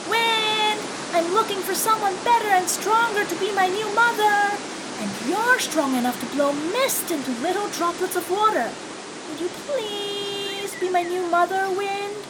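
A woman voicing a spoilt little boy kitten in a storybook, calling out in a shrill, strained, pleading way. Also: sing-song, slow, very noisy, full character voice. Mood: eager.

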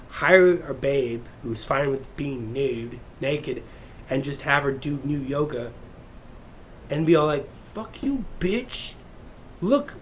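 A man's voice: fairly high pitch, slight background noise. A man vlogging in a heated, profane, rambling rant to his viewers. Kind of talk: unscripted rant to camera.

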